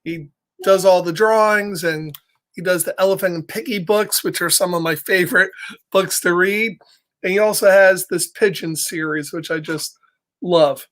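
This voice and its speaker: A man's voice: sits high, normal speed, fairly bright tone. A man reading a picture book aloud to children in a playful, chatty way, breaking off casually to address his young listeners. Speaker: children's storyteller.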